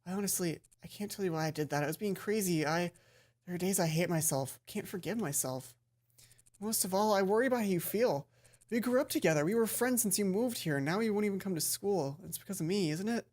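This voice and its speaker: A woman voicing a remorseful teenage girl pleading earnestly and haltingly with an estranged friend. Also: mid-range voice, a little mellow, natural voice. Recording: background game music.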